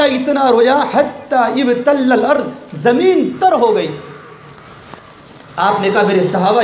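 Male preacher, high voice, emphatic religious storytelling with Arabic quotations, dull lo-fi recording. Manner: emphatic, repeats phrases for effect. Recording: echoey, dull lo-fi, faint noise.

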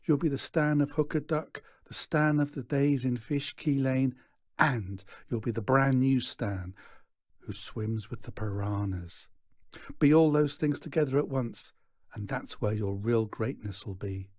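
A man's voice: medium pitch, a little slow, darkish tone, no room sound, studio quality, pitched above the performer's own voice, close to an everyday voice. A man voicing a seasoned showman in a storybook, a reassuring, emphatic mentor.

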